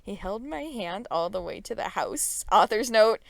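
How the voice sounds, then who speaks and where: A woman's voice: mid-range voice, animated, normal speed, gravelly, dry recording. Woman doing mock-dramatic reading, dry sound. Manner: theatrical, playfully emphatic. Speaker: reader of a comic story.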